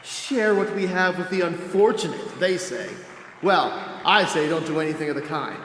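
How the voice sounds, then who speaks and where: A man's voice: fairly high pitch, moderate pace, a bit bright, close to an everyday voice. A man playing a haughty, brusque costumed hero turned demagogue in the oratorical style of a 1940s radio serial. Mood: pompous, rousing. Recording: echoey hall reverb, faint noise.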